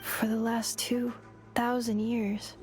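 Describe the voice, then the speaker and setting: Measured, high-voiced robot girl. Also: level tone, darkish tone, faint music behind. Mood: calm, analytical. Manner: measured, clipped, matter-of-fact. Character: young female robot, calm, logical, literal-minded. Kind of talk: video game character voice acting.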